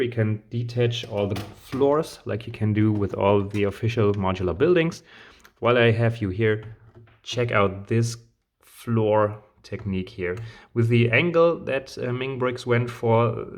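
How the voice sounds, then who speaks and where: A man with a hoarse, recovering voice, hosting a casual review of a building set, hesitant with many fillers but enthusiastic. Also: fairly low pitch. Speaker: channel host reviewing building sets. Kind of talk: casual product review vlog.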